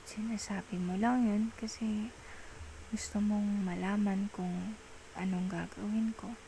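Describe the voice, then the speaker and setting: A woman playing an affectionate, reassuring girlfriend in an ASMR roleplay, with a Filipino accent and soft, breathy speech that slips into whispers. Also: mid-range voice, steady tone, a little hiss or hum, rough recording. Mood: loving, earnest. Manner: slow, tender, mixes Tagalog with English. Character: affectionate, patient, reassuring young girlfriend soothing a partner.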